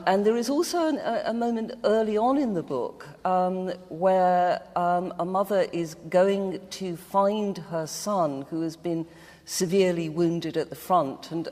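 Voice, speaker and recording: A woman speaking as a guest in a reflective literary discussion, with a halting, measured delivery. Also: lowish voice, a little mellow. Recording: very reverberant hall.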